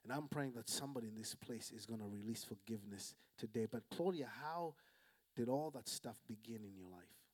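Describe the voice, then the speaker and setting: A man's voice: medium pitch, brisk. A man who is a pastor, calling out to the congregation and interviewing a guest in church. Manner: energetic, call-and-response preaching.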